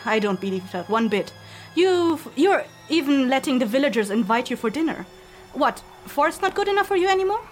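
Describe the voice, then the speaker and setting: A woman playing a warm, perceptive, teasing friend in an audio drama, familiar and gently probing. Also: high-pitched, big pitch swings, fairly quick, soft background music. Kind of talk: acted fan fiction audio drama.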